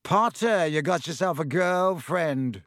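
A man's voice: a little high, moderate pace, fairly bright tone, dry recording, clean studio sound, natural voice. A man voicing a spiteful schoolboy bully with a mocking drawl in a storybook reading. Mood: sneering.

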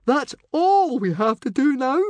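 A man voicing a nervous, sarcastic young Viking boy in a squeaky, incredulous squeal for a storybook. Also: very animated, a little slow, darkish tone, no room sound, full character voice. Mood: anxious.

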